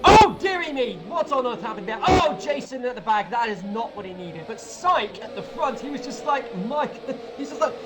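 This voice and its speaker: Energetic male co-commentator whose pitch jumps all over; joking, exclaiming at every incident. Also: moderate pace, few pauses, over loud music. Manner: animated, joking, exclaims loudly. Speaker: race co-commentator. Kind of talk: excitable live race commentary.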